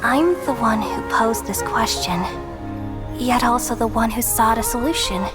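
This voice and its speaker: A woman playing a serene, benevolent goddess in a video game, telling her story in a solemn, tender, measured way. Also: a little high, natural voice. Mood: solemn, loving. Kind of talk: English video game voice acting. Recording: music behind the voice. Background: clear background music.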